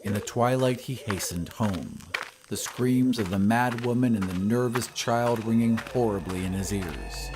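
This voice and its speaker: A man reading a scripted horror story in a measured, literary storytelling manner. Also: fairly low pitch, noisy background. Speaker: audiobook narrator. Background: ambient sound effects.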